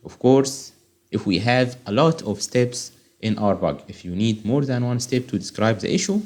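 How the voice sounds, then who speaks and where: A man's voice: fairly low pitch, normal speed, a little mellow. Man tutoring step by step, Arabic first language. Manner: explains step by step, often checks with "okay?". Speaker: software testing tutor. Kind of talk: screen-recorded tutorial walkthrough.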